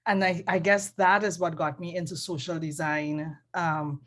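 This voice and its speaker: A woman with a Trinidadian accent talks through her work on a video call. Her voice sits a little low, and she speaks thoughtfully, pausing often and correcting herself as she goes. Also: little pitch movement, fairly bright tone. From Trinidad and Tobago. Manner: thoughtful, hesitant, many ums and self-corrections. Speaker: design professor. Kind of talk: casual panel conversation.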